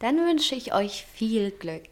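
Vain, dreamy young daughter for a 1960s radio play: high voice, literary phrasing, cold-hearted longing for luxury. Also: female voice, sing-song, takes it slowly, darkish tone. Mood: coolly vain. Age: young adult.

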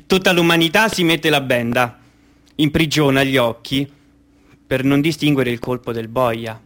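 An actor playing a grave, sorrowful witness to horror, speaking a measured, solemn poetic monologue in a radio play. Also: male voice, mid-range voice, a bit bright, natural voice. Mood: grave, mournful.

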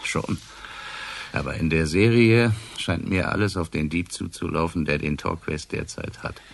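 Low, creaky, brooding police inspector. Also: male voice, moderate pace. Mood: pensive, doubtful. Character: male provincial police inspector, brooding, sceptical, dry. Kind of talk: naturalistic German radio crime drama acting.

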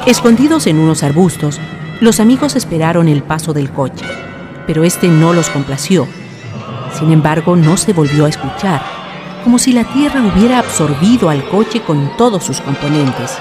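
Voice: medium pitch, expressive, moderate pace. A narrator reading a horror story in a formal, ornate literary style. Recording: music behind the voice.

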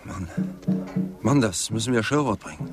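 An actor playing a gruff, dismissive railway worker loyal to the boss, brusque and emphatic in radio drama dialogue. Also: male voice, medium pitch, moderate pace, over music, lightly acted. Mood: alarmed.

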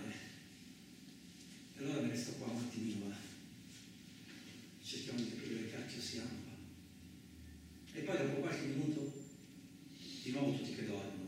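A man's voice: medium pitch, far from the mic. A man performing a colloquial first-person dramatic monologue for radio theatre. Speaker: stage actor performing a monologue. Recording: very echoey room, off-mic, faint noise.

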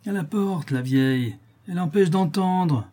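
A man's voice: medium pitch, lively intonation, moderate pace, dark tone, breathy edge, pitched above the performer's own voice. A man voicing a mocking, gleeful young street boy who taunts and jeers like a theatre heckler in a storybook reading. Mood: jeering.

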